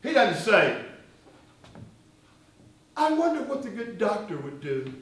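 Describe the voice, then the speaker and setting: An actor playing a defiant, militant freedom leader on stage, speaking in emphatic, accusatory oratory with deliberate pauses. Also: male voice, high voice, sing-song, exaggerated voice. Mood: bitter, cutting. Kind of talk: live stage play acting. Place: stage in a reverberant room, recorded from afar. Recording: echoey hall, distant microphone.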